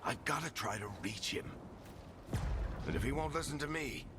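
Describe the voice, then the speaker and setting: A man's voice: mid-range voice, lively intonation, moderate pace, background noise, close to an everyday voice. An actor voicing a loyal, weary dwarf rogue in a video game, wry and earnest as he tries to persuade. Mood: wry resolve. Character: weary, loyal male dwarf rogue pleading with old friend. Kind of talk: dramatic video game acting. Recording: loud music behind. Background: cinematic game music.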